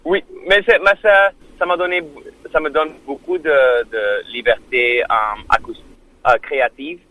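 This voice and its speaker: A man, a musician guest on a radio interview, speaking haltingly, with many fillers and restarts. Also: a little high, bright, forceful tone, rough recording. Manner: non-native French.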